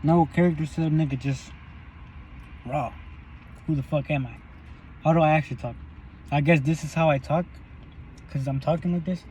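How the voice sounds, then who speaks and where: Young male vlogger, slightly high voice, loose and profane, eating in a parked car with faint traffic behind him. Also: steady tone, moderate pace, pauses often, a little mellow, poor audio. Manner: loose, rambling and profane while chewing. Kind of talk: casual eating vlog monologue.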